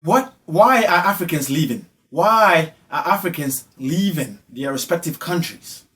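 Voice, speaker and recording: A man's voice: on the high side, expressive, unhurried, fairly bright tone. A man from Ghana with a Ghanaian accent giving spoken social commentary, emphatic and repeating phrases for effect. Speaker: online commentator.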